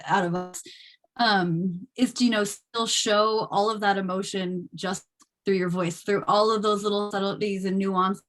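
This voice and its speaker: A woman's voice: mid-range voice, steady tone, a little slow, fairly bright tone. Chatty woman with a mid-range voice. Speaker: actor. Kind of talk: casual Q&A discussion. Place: video call.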